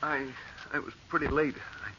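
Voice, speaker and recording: An actor playing a panicky, guilt-ridden man on the run in an old radio thriller, halting and melodramatic. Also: a little high, fairly even intonation, bright, forceful tone. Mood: weary, evasive. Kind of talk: 1940s radio thriller acting. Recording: muffled, faintly noisy old radio recording.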